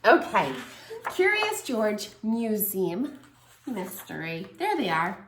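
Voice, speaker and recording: A woman teacher reading a picture book aloud to a small child, lively and encouraging. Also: medium pitch, slow, echoey room, a little hiss or hum. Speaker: preschool teacher. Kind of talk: children's read-aloud lesson.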